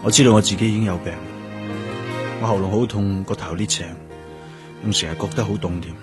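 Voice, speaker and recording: An actor with a Hong Kong Cantonese accent playing a stubborn, weary young police detective in a radio drama, defiant and insistent. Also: male voice, on the low side, fairly even intonation, brisk, music in the background, natural voice. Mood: weary, determined. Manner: defiant, insistent, repeating himself for emphasis.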